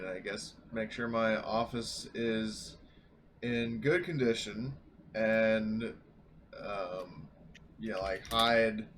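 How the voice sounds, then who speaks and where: A man playing a tabletop game over voice chat, talking casually in hesitant pieces that often trail off. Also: lowish voice. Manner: hesitant, piecemeal delivery with many trailing phrases. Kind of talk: casual chat during online roleplaying game. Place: online voice call. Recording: noisy online voice chat.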